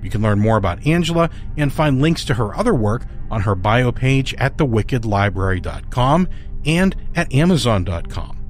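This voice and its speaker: A man's voice: medium pitch, sing-song, normal speed, faint music behind. A man hosting a podcast, reading a scripted introduction. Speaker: podcast host.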